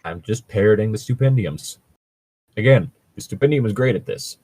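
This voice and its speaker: A man's voice: on the low side, animated, normal speed, dark tone, slightly rough. A man co-hosting a podcast in casual banter and mock story reading, with a wry, sweary manner and a fondness for puns. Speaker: podcast co-host.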